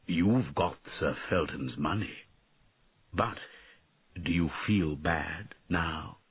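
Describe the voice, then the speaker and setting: Man as a low, quiet, melancholy dragon. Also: slow pace, breathy edge, no room sound, narrow, tinny audio, full character voice. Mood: reproachful. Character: gentle, sad, thoughtful old-code male dragon.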